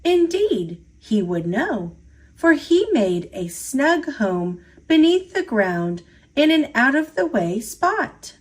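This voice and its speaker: A woman reading a children's picture book aloud in an expressive storytelling style. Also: sits high, takes it slowly, slightly rough. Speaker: storybook reader.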